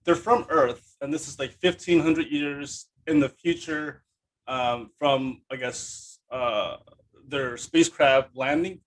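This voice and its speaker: A man's voice: fairly high pitch, takes it slowly, poor audio. A man hosting a podcast in a casual, eager and effusive chatty style. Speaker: podcast host. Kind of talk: casual chat, interviewing.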